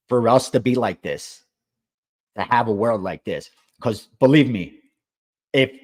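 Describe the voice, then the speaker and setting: A middle-aged man whose first language was Greek, hosting a sports betting show and giving a heated, emphatic monologue with swearing and repeated phrases. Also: on the high side. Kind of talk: impassioned monologue.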